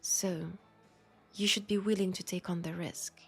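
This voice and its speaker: A woman acts out a world-weary, seductive female vampire with a French accent. She speaks slowly and intimately in a soft, husky voice that often drops toward a whisper. Faint music plays behind this audio drama. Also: fairly low pitch, fairly even intonation, heavily acted. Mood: frank, earnest. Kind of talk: intimate scripted audio roleplay monologue.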